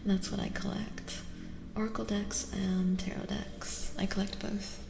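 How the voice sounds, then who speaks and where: A woman speaking in a soft, gentle ASMR style as she chats casually with livestream viewers, often dropping into whispers. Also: mid-range voice, little pitch movement, a little slow, vocal fry, reverberant, in a large hall. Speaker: livestreamer giving tarot readings.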